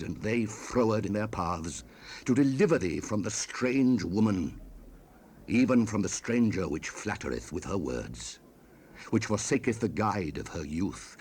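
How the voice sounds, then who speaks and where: Solemn, low-voiced biblical sage. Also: male voice. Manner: solemn, declamatory, archaic phrasing. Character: stern fatherly biblical sage, exhorting and warning his son. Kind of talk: dramatized King James scripture reading, 1970s audio production. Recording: noisy raw cassette rip.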